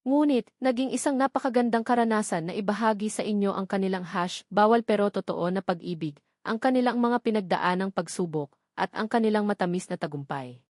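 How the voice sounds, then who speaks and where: Female storyteller, medium pitch, heartfelt even read; dry, close, no room sound. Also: fairly quick, no echo. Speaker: storyteller narrator. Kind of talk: scripted first-person love story narration.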